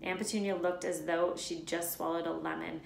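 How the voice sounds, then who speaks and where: A woman reading a children's story aloud in an expressive narrating style. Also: on the low side, normal speed, vocal fry. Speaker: read-aloud storyteller, teacher. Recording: echoey room.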